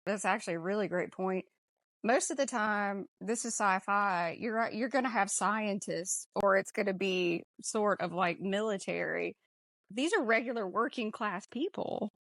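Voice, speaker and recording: A woman's voice: mid-range voice, normal speed, a bit bright, no room sound. A woman hosting a podcast, talking casually through a film discussion. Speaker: podcast host.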